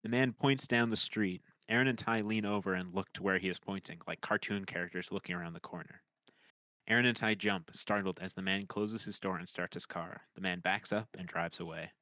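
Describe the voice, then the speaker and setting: Low, bright-edged man narrating a script. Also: fairly even intonation, a little fast, no room sound. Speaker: screenplay narrator. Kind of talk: scripted narration of comedy stage directions.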